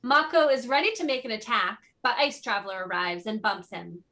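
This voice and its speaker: Bright-voiced woman reading stage directions. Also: on the high side, animated, a little fast. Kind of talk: scripted narration.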